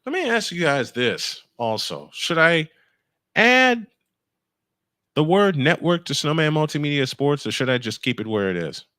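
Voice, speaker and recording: A man's voice: fairly high pitch, very animated, moderate pace, bright, forceful tone, no room sound, studio quality. A man doing live baseball play-by-play on a stream, mixing in casual chat and rambling as he thinks aloud.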